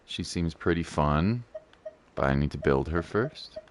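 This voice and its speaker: A man's voice: very deep voice, animated, unhurried, noisy background. A man giving casual live game commentary as a streamer, with a slangy, joking, laid-back way of talking.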